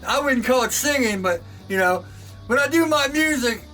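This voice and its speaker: A man talking casually to his camera about knifemaking, loud and excitable, laughing often and projecting because he can't talk softly. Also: very high voice, normal speed, recorded from a distance, soft background music, poor audio. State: sore throat. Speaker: knifemaker and channel host. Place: home workshop.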